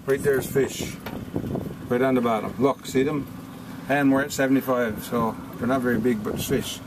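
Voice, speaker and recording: A man's voice: medium pitch, steady tone, normal speed. A man chatting casually out on a small fishing boat. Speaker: recreational fisherman running the boat. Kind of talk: casual chat. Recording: very loud wind and boat noise.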